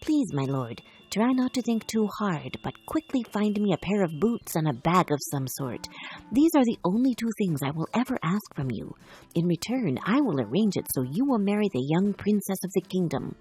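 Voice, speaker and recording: A woman's voice: mid-range voice, very animated, normal speed, mellow, husky, faint music behind, rough recording. A woman voicing a cunning, polite male cat servant in a children's storybook, speaking in an earnest, persuasive, courtly manner. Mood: courteous.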